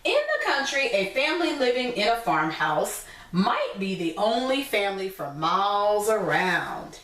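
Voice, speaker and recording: A woman teacher reading a picture book aloud to young children, pausing to explain things simply in her own words. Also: medium pitch, very animated, a bit bright. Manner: instructive, repeats and explains points simply. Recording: echoey room, distant from mic.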